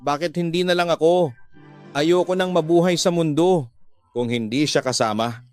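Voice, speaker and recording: A man's voice: a little high, normal speed, faint music behind, close to an everyday voice. A man voicing a grieving widowed mother in a radio drama, wailing and pleading in despair.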